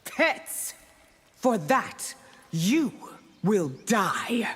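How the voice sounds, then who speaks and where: A woman's voice: fairly high pitch, big pitch swings, extremely slow, slightly rough, exaggerated voice. An actress playing a proud, fierce, commanding mother empress in a video game. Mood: indignant, commanding. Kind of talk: video game fight-intro dialogue. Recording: long hall-like reverb.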